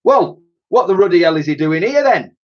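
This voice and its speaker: A man voicing a tiny, excitable, sceptical old Welsh soldier in a children's storybook. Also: sits high, moderate pace, creaky, highs cut off, voice pushed up, heavily acted. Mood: astonished.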